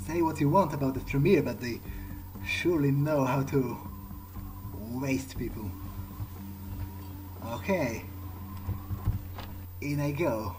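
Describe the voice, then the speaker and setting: A man voicing a cocky, gloating vampire as he plays a game, thinking aloud in halting fragments and swearing. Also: mid-range voice, background noise, close to an everyday voice. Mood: muttering, wary. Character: cocky, gloating male vampire, mercilessly thrilled by power. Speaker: video game player. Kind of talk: in-character roleplay commentary over a game.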